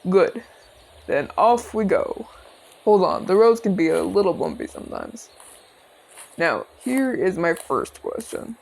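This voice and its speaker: Man voicing a gravelly, genial orc ex-general. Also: high-pitched, huge pitch swings, heavily acted. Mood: friendly, curious. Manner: courteous, measured, chatty. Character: genial retired orc general, male border commander, calm, inquisitive. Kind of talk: ASMR audio roleplay, speaking to the listener.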